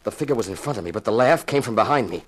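A cool-headed, hard-boiled investigator played by a male actor with a lowish voice. He is wry and matter-of-fact, both narrating and acting in 1950s radio detective style. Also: expressive, very fast, noisy background, lightly acted. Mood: tense, narrating. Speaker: narrator and lead.

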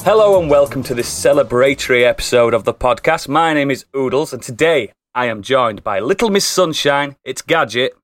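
A man's voice: medium pitch, animated, normal speed, hardly any pauses, fairly bright tone, faint music behind. A man hosting a podcast, introducing guests with jokey, theatrical flair. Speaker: podcast host. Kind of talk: casual chat.

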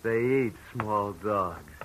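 A jumpy, menacing gangster heavy from an old radio drama. His voice runs a little low and he snarls his threats. He sounds ready to shoot. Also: extremely slow, fairly bright tone, slight background noise, rough recording. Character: menacing male gangster henchman, tough and jumpy. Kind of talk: 1940s radio acting.

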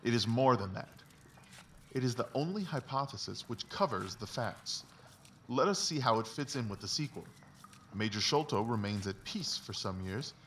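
A man's voice: mid-range voice, fairly bright tone, close to an everyday voice. A confident Victorian detective acted by a man lays out his reasoning like a lecture, precise and emphatic. He sounds set back from the microphone, with faint noise behind him, in the manner of a dramatised audiobook. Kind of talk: dramatised literary audiobook dialogue. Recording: distant mic, faint background noise.

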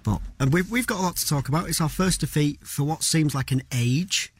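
A man's voice: fairly high pitch, a little fast, breathy edge. A man from North Manchester, co-hosting a football show in a laid-back way.

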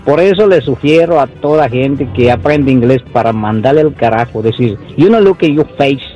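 A man's voice: medium pitch, expressive, moderate pace, mellow, soft background music. A man calling in to a radio show, blunt and mocking. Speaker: caller. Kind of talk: phone-in comment. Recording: dull phone line on radio.

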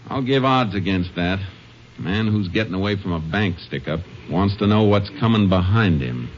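An actor playing a calm, sharp Texas Ranger investigator in a radio drama, terse, matter-of-fact and authoritative. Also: male voice, sits low, moderate pace, background noise. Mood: calm, deductive. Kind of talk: old-time radio detective acting and narration. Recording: muffled old radio recording.

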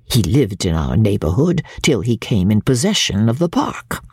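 A woman doing a dramatic audiobook reading as a shrewd, cold older Victorian woman recounting secrets, with a low, husky voice and deliberate delivery. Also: sing-song, no echo, clean studio sound, exaggerated voice. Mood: coolly confiding. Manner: deliberate storytelling, dramatic swoops and hushes. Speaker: audiobook reader. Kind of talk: dramatic reading of classic literature.

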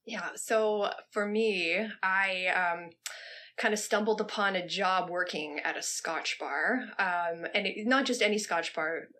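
An earnest woman with a Canadian accent answers an interview question in a long, rambling way. Her voice is bright and forward, and she restarts her sentences as her thoughts pile up. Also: medium pitch, a little slow. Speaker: podcast co-host.

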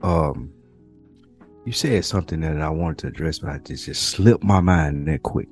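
A man co-hosting a podcast, chatting loosely in slang and working through his points with pauses and restarts. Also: a bass voice, darkish tone, slightly rough, faint music behind. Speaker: podcast co-host. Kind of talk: casual chat.

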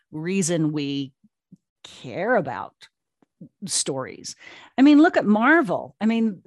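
A woman's voice: lowish voice, no echo, clean studio sound. A woman speaking as an interview guest, answering conversationally and telling stories with emphatic repetition. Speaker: guest, audio drama platform co-founder.

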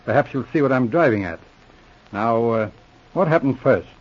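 Actor as self-assured Mountie sergeant, muffled old radio. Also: male voice, medium pitch, expressive, normal speed, close to an everyday voice. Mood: measured, probing. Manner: probing, commanding, dryly teasing. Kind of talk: 1940s radio drama acting. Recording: muffled vintage radio recording.

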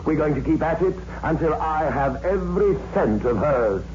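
An actor playing a cold, greedy, murderous brother with a smooth, condescending, controlling manner, in 1960s suspense radio drama style. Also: male voice, fairly high pitch, expressive, moderate pace, a little mellow, lightly acted. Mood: cold, dismissive. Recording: muffled, hissy old radio recording.

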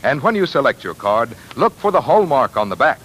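A man's voice: mid-range voice, sing-song, brisk, fairly bright tone, poor audio. An American man reading as a polished, earnest radio announcer in scripted 1940s commercial style. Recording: 1940s radio broadcast, faint noise.

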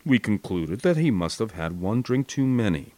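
American guy reading a war memoir audiobook, lowish voice, dry close sound, tells it with drama. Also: moderate pace, no echo. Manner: expressive, dramatic storytelling. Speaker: audiobook narrator. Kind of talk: scripted first-person war memoir narration.

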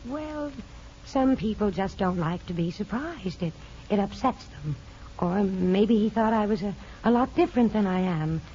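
A woman's voice: mid-range voice, lively intonation, a little slow, mellow, close to an everyday voice. Casting: woman playing tender governess-mother, middle register, vintage radio drama, muffled with faint hiss. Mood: gentle, hesitant. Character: gentle, loving mother posing as governess. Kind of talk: 1950s radio drama acting. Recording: dull, hissy old radio recording.

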